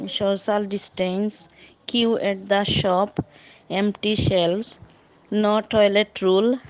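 Woman student, mid-pitched, flat halting reading, faint noise behind. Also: poor audio. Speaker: language student. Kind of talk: reading her own poem aloud.

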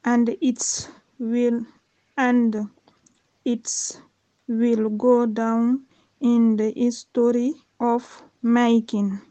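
A woman from Guinea, a language student reading her own poem aloud in a slow, careful, halting way. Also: a little high, flat delivery, dark tone, no room sound, rough recording.

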